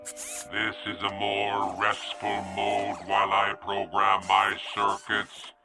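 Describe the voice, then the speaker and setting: A literal, childlike male robot drones in a low, level tone that barely moves, each word laid down slowly and evenly. It speaks without feeling in a radio play with a music score underneath. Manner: flat, measured, emotionless delivery. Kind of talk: radio drama acting.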